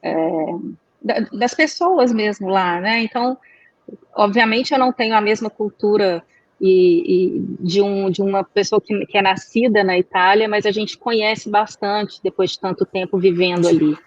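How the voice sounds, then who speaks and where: A woman's voice: medium pitch, steady tone, dry recording. Reflective woman. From Minas Gerais, Brazil. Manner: measured, reflective, searching for words. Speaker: guest, chamber of commerce executive. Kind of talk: interview answers. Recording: dry, dull recording.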